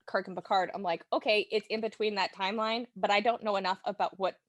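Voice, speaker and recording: A woman's voice: medium pitch, steady tone, fast, no echo. Woman guest chatting casually, very dry sound. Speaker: podcast guest. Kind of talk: casual chat.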